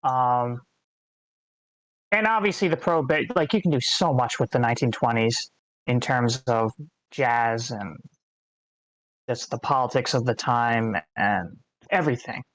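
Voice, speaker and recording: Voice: medium pitch, a little slow, long pauses, no echo, poor audio. A speaker with an American accent giving feedback in casual, rambling chat. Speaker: participant giving script feedback. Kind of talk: casual chat, thinking aloud.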